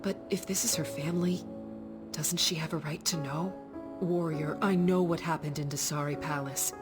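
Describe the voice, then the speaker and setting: Actress as female fantasy game companion, lowish voice, earnest, caring, gentle seriousness, clear music behind. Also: steady tone, moderate pace, close to an everyday voice. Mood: concerned, earnest. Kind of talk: video game voice acting.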